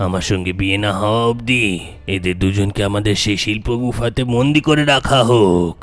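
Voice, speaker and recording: A man's voice: lowish voice, a little fast, close to an everyday voice. An actor playing a lustful, cruel old king who gives orders in a commanding, theatrical way. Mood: threatening.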